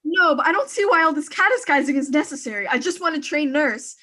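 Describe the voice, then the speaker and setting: Very shrill, frantic invalid wife. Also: female voice, expressive, fast talker, sharp tone. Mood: agitated demanding. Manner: imperious, fretful, breathlessly panicky. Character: anxious, demanding invalid wife terrified alone at home. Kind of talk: 1940s radio thriller acting.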